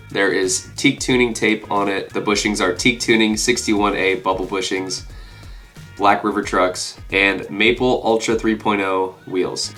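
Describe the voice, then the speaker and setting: Congested young man with a low voice. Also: a bit bright. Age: 20s. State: congested with a cold. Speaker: video creator. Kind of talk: casual chat showing a collection to camera. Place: small furnished room indoors.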